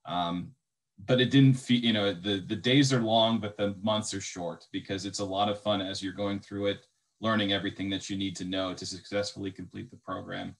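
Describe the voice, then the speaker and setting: A young man speaks on a video call in an easy, conversational way, often stopping on "um" and "you know". His voice sits somewhat low for a man. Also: flat delivery, normal speed. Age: young adult. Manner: casual, friendly, with fillers. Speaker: medical graduate, alumnus. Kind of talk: online info session talk.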